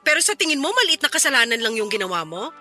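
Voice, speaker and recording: A woman's voice: high-pitched, sing-song, fast talker, sharp tone, faint music behind, heavily acted. A woman voicing a wounded, sarcastic fiancée scolding her cheating man in a Filipino-accented radio drama. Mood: hurt, scolding.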